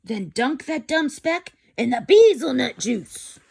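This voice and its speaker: Casting: rowdy gang bully, done by a woman, high, lively pitch, jeering storybook villain barking orders. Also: takes it slowly, creaky, husky, no room sound, studio quality, exaggerated voice. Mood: aggressive.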